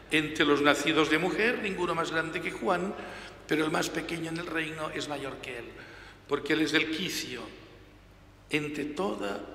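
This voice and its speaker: An older man in his sixties preaches from a lectern in a large church, his bright, ringing voice carrying a long reverb. He preaches with emphatic stress, dropping softer and swelling louder on key words. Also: a little high. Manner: emphatic, gestures with hands, varies loudness for emphasis. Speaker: priest. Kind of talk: reading the Gospel and preaching a homily. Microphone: gooseneck lectern mic. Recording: reverberant church hall, faint room noise.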